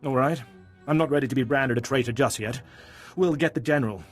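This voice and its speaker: An actor playing a gruff, pragmatic warship captain in a video game, firm and commanding. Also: male voice, mid-range voice, fairly quick, soft background music, close to an everyday voice. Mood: grudging, resigned.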